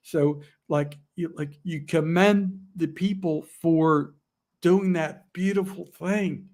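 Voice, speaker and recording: A man talking to camera in an emotional, rambling vlog, halting often with fillers and restarts. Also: on the high side, steady tone, a little mellow. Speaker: outdoor vlogger. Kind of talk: emotional casual monologue.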